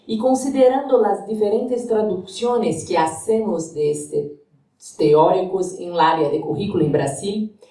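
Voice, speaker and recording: A woman's voice: mid-range voice, dark tone, far from the mic. Brazilian woman lecturing in an echoey hall. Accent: Brazilian Portuguese. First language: Portuguese. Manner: careful, searching for words in a second language. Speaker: guest lecturer. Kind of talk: academic lecture, partly read aloud.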